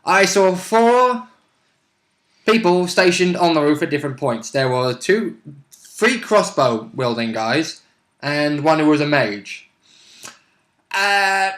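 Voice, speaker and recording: A man's voice: on the high side, a bit bright. A man chatting casually as a tabletop player, recounting his character's exploits in a jokey, chuckling way. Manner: jokey, often chuckling while talking. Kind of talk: casual chat.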